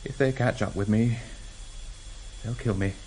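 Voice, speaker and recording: An actor playing a guilt-ridden, hunted husband in a vintage radio drama, halting and defensive. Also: male voice, lowish voice, darkish tone, natural voice. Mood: despairing. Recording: noisy vintage radio recording.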